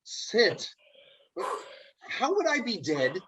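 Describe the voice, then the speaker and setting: A man's voice: high voice, wildly swooping pitch, drawn-out words, a bit bright, slightly rough, full character voice, rough recording. A man playing an exasperated, reluctant straight man in an office comedy, read dryly and curtly over a video call. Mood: flustered. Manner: dry, curt. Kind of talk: comic stage script table read. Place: home, online video call.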